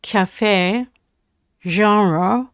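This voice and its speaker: A Japanese woman with a Japanese accent, practising language aloud on a casual livestream in a halting, hesitant way. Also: medium pitch, clear, resonant tone, no room sound, studio quality. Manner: hesitant, halting English with self-corrections. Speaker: live streamer learning English. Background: computer keyboard typing and clicking.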